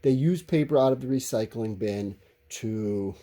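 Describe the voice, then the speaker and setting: A man's voice: medium pitch, unhurried, warm tone, a little hiss or hum. A man teaching a recorded lesson, talking informally to his students and explaining things in a candid, conversational way.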